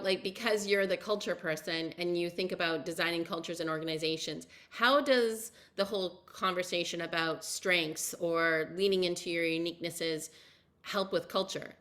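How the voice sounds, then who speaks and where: A woman's voice: lowish voice, sharp tone. A woman interviewing a guest as a podcast host, chatty and effusive, with long, winding questions. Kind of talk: casual conversational interviewing.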